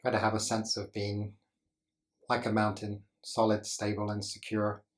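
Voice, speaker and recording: A man with a low-pitched voice guides a meditation. His intonation stays flat and steady throughout. He gives gentle instructions as a teacher would, pausing deliberately between short phrases.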